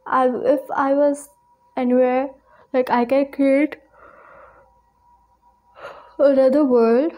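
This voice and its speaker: A woman's voice: high voice, dark tone. A woman in her twenties with an Indian accent, giving casual reaction commentary as a video host. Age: 20s.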